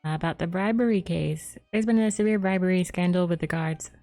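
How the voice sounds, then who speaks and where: A woman's voice: lowish voice, brisk, mellow, soft background music. A woman game streamer casually reading game text aloud, stumbling over names as she goes.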